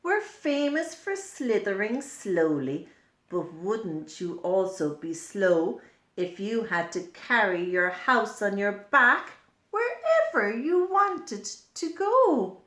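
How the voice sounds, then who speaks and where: A woman's voice: mid-range voice, very animated, takes it slowly, a bit bright, echoey room. A woman voicing cheerful little garden bugs from a picture book in emphatic, sing-song rhyme. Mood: proud, playful. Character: cheerful little garden minibeasts boasting about themselves. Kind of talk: picture-book character read-aloud.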